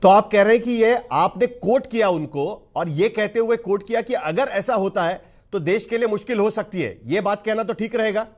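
A man's voice: high-pitched, normal speed, few pauses, darkish tone. A man with an Indian accent anchoring a broadcast news interview in a formal, wordy way, repeating phrases for emphasis.

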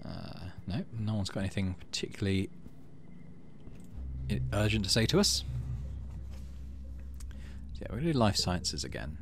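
Man with very animated pitch, gaming. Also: moderate pace, gravelly, pauses often, a little hiss or hum. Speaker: gaming video host. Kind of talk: casual game commentary and expressive read-aloud narration.

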